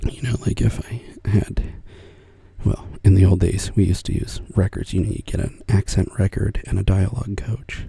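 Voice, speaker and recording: An older man chatting softly in a whisper as an ASMR artist, rambling and soft-spoken. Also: deep voice, little pitch movement, moderate pace, creaky, breathy edge, no room sound.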